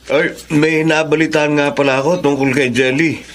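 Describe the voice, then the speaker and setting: Man acting worried neighbour guy in a radio drama, a bit high, earnest, faint background noise. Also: flat delivery, normal speed, natural voice. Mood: gossiping, concerned. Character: concerned male neighbour passing on local news.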